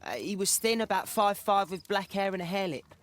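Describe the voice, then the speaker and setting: An actor playing an eager, earnest young British street lad in a video game, speaking deferentially. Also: male voice, sits high, animated, a little fast. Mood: urgent, earnest. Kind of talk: dramatic video game dialogue.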